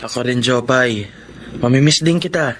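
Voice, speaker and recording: A man playing a gentle, earnest, encouraging schoolteacher in a Filipino radio drama. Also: medium pitch, normal speed, slight background noise, natural voice. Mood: regretful, kind.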